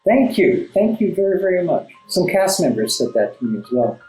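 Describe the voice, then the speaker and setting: A man giving conversational interview answers as a guest playwright, articulate and formally worded, often halting and repeating words for emphasis. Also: high-pitched, very animated, dark tone. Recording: roomy echo, music underneath. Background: classical background music.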